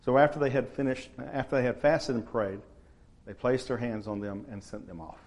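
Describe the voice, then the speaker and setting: A man, preaching a sermon to his church in a relaxed, conversational way. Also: medium pitch. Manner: conversational, unhurried, frequent uh fillers. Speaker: pastor.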